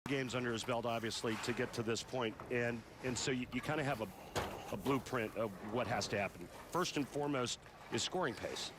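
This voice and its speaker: A man's voice: mid-range voice, fairly quick, heavy background noise. Easygoing man analyzing bowling technique. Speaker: color commentator.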